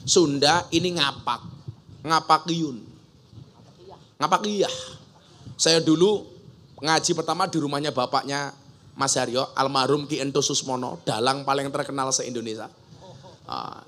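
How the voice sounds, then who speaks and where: A man's voice: fairly high pitch, pushed and bright. A man preaching a humorous sermon to a gathering, joking and mimicking regional dialects. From Java. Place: outdoor religious school gathering.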